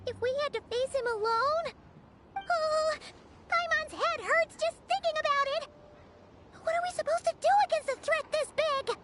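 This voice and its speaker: Very high squeaky childlike game companion. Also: female voice, sing-song, unhurried, a bit bright, a little hiss or hum, exaggerated voice. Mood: anxious. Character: small childlike floating companion, squeaky, anxious, chatty. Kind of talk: video game cartoon-like voice acting.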